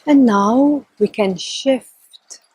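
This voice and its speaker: A woman leading a guided meditation in a calm, gentle, soft and breathy voice, with long unhurried pauses between short phrases. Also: a little high, no room sound, low-quality recording. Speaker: meditation teacher. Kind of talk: guided meditation, slow and instructional.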